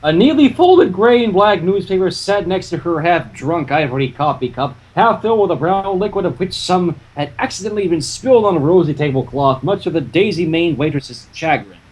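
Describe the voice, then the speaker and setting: A man's voice: sits high, expressive, fairly quick, hardly any pauses, a little mellow, breathy edge, poor audio. A man co-hosting and narrating a live fan fiction reading, with casual banter between passages. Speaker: narrator and co-host.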